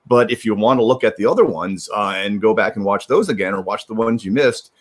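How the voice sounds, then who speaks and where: A man with a lowish voice hosts a livestream. He talks very quickly and informally, rambling through casual announcements and circling back over his own phrases. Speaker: livestream host. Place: remote video livestream.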